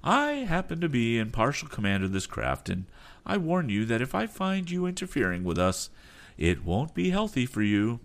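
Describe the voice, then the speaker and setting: A man's voice: mid-range voice, huge pitch swings, moderate pace, voice pushed up. A man voicing a firm, grimly warning sea captain in a storybook reading. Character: gruff male sea captain, quietly threatening.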